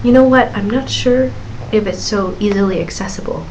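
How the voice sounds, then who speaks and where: A woman's voice: medium pitch, brisk, darkish tone, a little hiss or hum, poor audio. A woman in her thirties hosting her own live question-and-answer stream, chatting loosely with lots of giggles, fillers and restarts.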